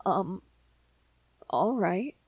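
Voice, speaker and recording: Slow, earnest young man in love, voiced by a woman. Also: medium pitch, expressive, slightly rough, no room sound, full character voice. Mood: affectionate, shy. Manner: hesitant, earnest, soft-spoken with stammers. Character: gentle, lovestruck young immortal vampire man, earnest and sappy. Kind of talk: romantic ASMR audio roleplay.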